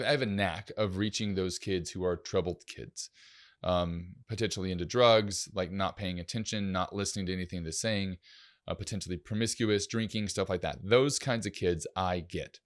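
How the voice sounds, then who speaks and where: A man's voice: deep voice, moderate pace. A man co-hosting a casual talk show, speaking in a reflective way with frequent restarts. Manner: reflective, many fillers and restarts. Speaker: podcast co-host. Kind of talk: casual chat.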